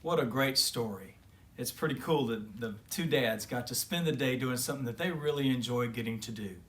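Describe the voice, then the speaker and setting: A man reading a children's story aloud as expressive narration. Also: medium pitch, normal speed. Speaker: children's story reader and host.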